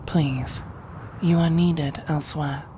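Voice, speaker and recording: A woman playing a regal, resentful, dutiful sorceress matriarch in a scripted ASMR roleplay, speaking in a formal, measured, imperious way. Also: low voice, fairly even intonation, warm tone, a little hiss or hum. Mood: weary, commanding.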